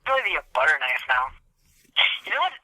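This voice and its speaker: A man's voice: on the high side, huge pitch swings, fast talker, sharp tone, slight background noise, low-quality recording. A man co-hosting a podcast, chatting casually about games with a sarcastic, teasing manner. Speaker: podcast co-host. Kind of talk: casual gaming chat.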